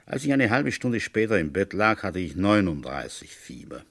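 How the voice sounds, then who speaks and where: An actor playing a shrewd village police inspector in a German radio crime drama, husky and musing as he thinks aloud conversationally. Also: sits low, big pitch swings, moderate pace, exaggerated voice. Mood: musing, confiding. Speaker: police inspector narrating the case.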